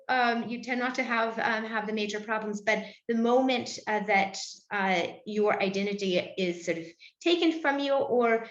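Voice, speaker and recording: A woman, a nun being interviewed, giving careful answers full of pauses, fillers and restarts over a video call. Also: fairly high pitch, a bit bright. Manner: hesitant, heavy with fillers and restarts. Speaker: Benedictine nun, interview guest. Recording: roomy, dull lo-fi sound.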